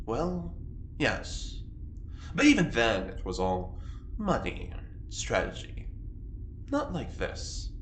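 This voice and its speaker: A man's voice: medium pitch, wildly swooping pitch, takes it slowly, long pauses, fairly bright tone, heavily acted. An actor playing a prim, earnest, easily affronted man with a formal, theatrically wounded manner in an audio drama. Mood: wistful. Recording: roomy, faint noise.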